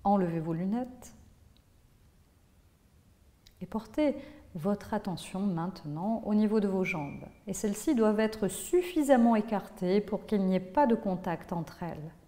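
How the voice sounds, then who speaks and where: A woman teaching yoga nidra, guiding a relaxation in a measured, instructive way with pauses between phrases. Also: medium pitch, expressive, dark tone. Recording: long hall-like reverb on voice.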